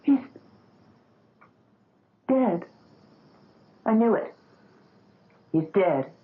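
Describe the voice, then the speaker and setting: Swooping, earnest daughter on old radio. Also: female voice, medium pitch, animated, extremely slow. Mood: stricken. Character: grieving young woman, the doctor's devoted daughter. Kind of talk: 1970s radio drama acting. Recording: tinny vintage radio broadcast.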